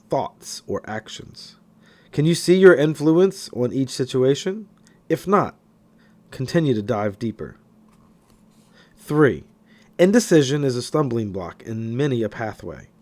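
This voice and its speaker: Male narrator for a self-help audiobook: mid-pitched, animated intonation, expressive and emphatic scripted read.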